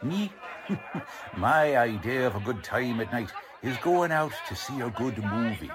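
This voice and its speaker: An actor playing a gruff, bossy American police lieutenant in a radio crime drama, blunt and slangy, hemming and hawing. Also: male voice, medium pitch, very animated, measured pace, crowd noise. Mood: wry. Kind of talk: old-time radio crime drama acting.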